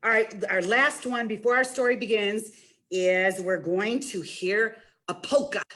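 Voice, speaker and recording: A woman's voice: medium pitch, unhurried, fairly bright tone. A woman presenting a storytime as a children's librarian, talking directly to young listeners in an encouraging way and reading a picture book aloud.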